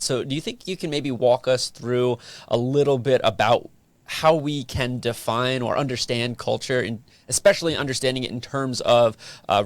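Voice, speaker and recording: A man's voice: mid-range voice, no room sound. A man hosting a relationship podcast, chatting casually and asking his guest questions. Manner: friendly, asks rapid-fire questions. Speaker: podcast host. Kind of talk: casual interview chat.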